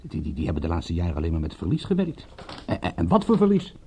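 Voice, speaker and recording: An actor playing a sharp, persistent private detective in a radio drama, brisk, questioning and commanding, prickly and secretive. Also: male voice, sits low, wildly swooping pitch, a little hiss or hum. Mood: excited, probing.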